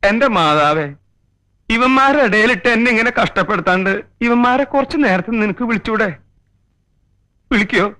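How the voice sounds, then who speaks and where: A man's voice: high-pitched, animated, moderate pace, long pauses, sharp tone. An actor playing an exasperated, long-suffering man in a comedy film, complaining and exclaiming. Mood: pleading. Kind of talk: Malayalam comedy film acting.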